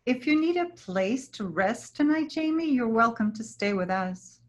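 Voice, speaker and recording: A woman playing a kind, principled healer in a travelling troupe, gentle and reassuring, in a staged play reading. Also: a little high, expressive, moderate pace, natural voice. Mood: welcoming.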